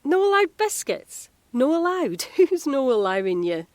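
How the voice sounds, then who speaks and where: A woman's voice: high-pitched, sing-song, measured pace, dry recording. Woman acting a cheeky Scottish carer, dry close sound. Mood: cheeky, reassuring. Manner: chatty, gently teasing. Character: friendly, cheeky, chatty female home carer. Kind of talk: audio drama acting.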